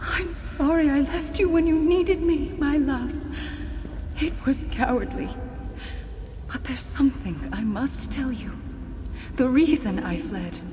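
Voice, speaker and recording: Slow-spoken, remorseful ghostly mother. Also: sits high, mellow, slight background noise. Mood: pleading, regretful. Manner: earnest, sorrowful, measured. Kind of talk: dramatic video game dialogue.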